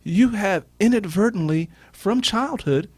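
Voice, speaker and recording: A man's voice: high-pitched, lively intonation, unhurried, a little mellow, no room sound. A man telling reflective personal stories as a radio guest, emphatic and repeating phrases for effect. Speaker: interview guest, author.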